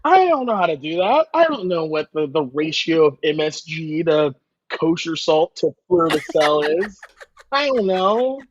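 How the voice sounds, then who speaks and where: A man's voice: a little high, very animated, unhurried, highs cut off, a little hiss or hum. A man chatting casually as a guest chef, with a joking, self-deprecating manner. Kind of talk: casual chat.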